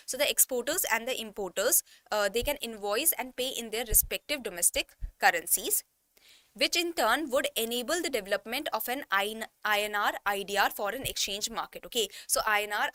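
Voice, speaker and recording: A woman's voice: fairly high pitch, sharp tone, dry recording. A woman with an Indian English accent teaching an online exam-prep lesson, restating each term and checking in with her students.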